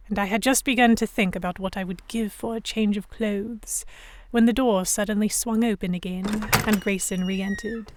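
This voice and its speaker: A woman playing a young Victorian noblewoman captive, narrating her story in a measured, literary first person. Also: mid-range voice, no echo, close to an everyday voice. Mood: weary, reflective. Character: young English noblewoman captive, reflective, stubborn, Victorian era. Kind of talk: first-person scripted narration in an audio drama.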